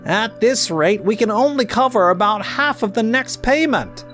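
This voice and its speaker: A woman's voice: a little high, lively intonation, moderate pace, music in the background, lightly acted. A woman playing a stern female tax advisor in a comic dub, with a firm, reproachful manner. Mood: stern scolding. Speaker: tax advisor and management consultant. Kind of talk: anime-style comic dub acting.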